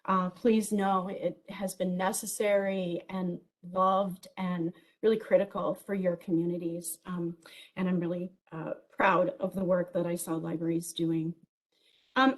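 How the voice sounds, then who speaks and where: A woman with a big, full voice delivers a keynote over a video call setup. She sounds enthusiastic and lively, with plenty of ums and small asides as she speaks. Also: medium pitch, normal speed, rough recording. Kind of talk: virtual conference keynote.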